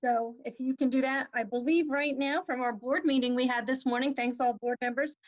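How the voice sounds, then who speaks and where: A woman runs the announcements of a virtual club meeting in a chatty way. Her voice sits a little high, and the call audio is dull and muffled. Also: steady tone, fairly quick. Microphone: video call.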